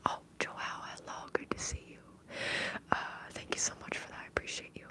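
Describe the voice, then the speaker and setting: A woman with a Minnesotan accent whispers breathily in a rambling, conversational ASMR livestream, chatting to her viewers. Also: fairly quick. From Minnesota, US upper Midwest. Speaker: livestream host.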